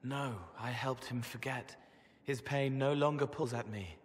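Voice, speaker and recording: An actor voicing a gentle young spirit in a fantasy game, speaking in cryptic, poetic fragments. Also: male voice, medium pitch, steady tone, a little slow, in a large hall, close to an everyday voice. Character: gentle, otherworldly young male spirit boy.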